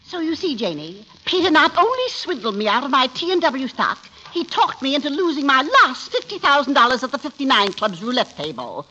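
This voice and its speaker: A woman's voice: sits high, big pitch swings, a little fast, few pauses, sharp tone, full character voice. An actress playing a scatterbrained, giggly middle-aged society mother with a fluttery, theatrical manner in a 1940s radio comedy. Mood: plaintive. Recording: muffled, noisy 1940s radio recording.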